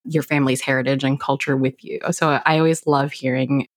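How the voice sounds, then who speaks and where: A woman's voice: a bass voice, flat delivery, a little fast. A woman hosting a podcast, asking guests rambling, friendly questions. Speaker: podcast host. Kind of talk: casual interview chat.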